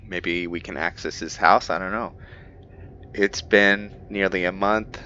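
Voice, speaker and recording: A man with a very low, bright-edged voice reads game journals aloud slowly, stumbling and correcting himself as he goes. The mic sounds dull and lo-fi, with faint noise underneath. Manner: halting reading with frequent self-corrections. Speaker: gamer playing and narrating. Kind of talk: roleplay let's play, reading in-game journals aloud.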